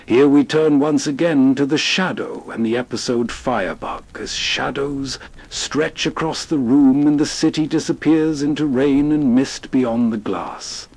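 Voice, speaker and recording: A male host delivering steady, atmospheric scripted narration that sets a scene. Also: medium pitch, measured pace, low-quality recording.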